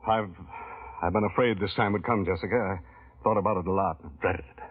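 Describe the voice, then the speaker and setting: An actor playing an ambitious, lovestruck male employee in an old radio drama, speaking earnestly with halting, wry turns. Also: sits low, slight background noise, natural voice. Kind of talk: 1940s radio acting. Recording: tinny old radio broadcast.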